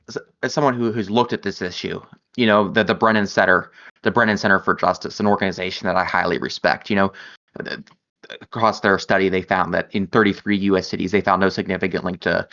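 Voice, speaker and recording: A man's voice: low voice, steady tone, fairly quick, fairly bright tone, dull audio. A man co-hosting a news show, earnest and repeating words as he builds a point. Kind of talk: casual news commentary.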